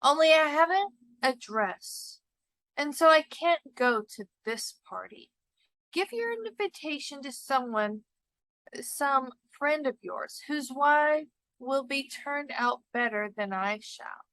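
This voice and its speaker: A woman's voice: sits high, expressive, slow, a bit bright, no echo, heavily acted, low-quality recording. A woman voicing a discontented, vain young wife in a storybook, plaintive and self-pitying. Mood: sulky, wounded.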